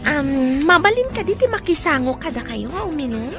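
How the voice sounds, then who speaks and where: Flirty scheming waitress, high swoopy voice, ilocano radio drama, music under it. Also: female voice, big pitch swings, normal speed. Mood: flirty, teasing. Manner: playful, teasing.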